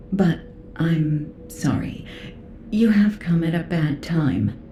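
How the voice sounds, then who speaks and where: A woman voicing a courteous, apologetic sage who speaks softly and carefully in a game cutscene. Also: fairly low pitch, slight background noise. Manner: slow, soft-spoken, courteous. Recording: echoey reverberant sound.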